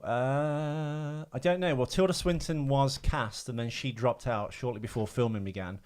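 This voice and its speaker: A man's voice: mid-range voice, normal speed, darkish tone, clean tone. A man hosting a casual film trivia podcast, explaining things in a hesitant, informal way. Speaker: podcast host.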